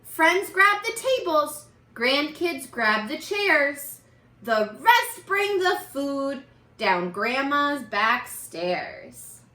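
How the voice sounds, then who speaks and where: Animated, bright-voiced woman reading to children. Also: high-pitched, very slow. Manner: expressive, exaggerated, encouraging toward young listeners. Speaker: teacher reading a picture book aloud. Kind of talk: animated children's read-aloud with questions to listeners. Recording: echoey, roomy sound.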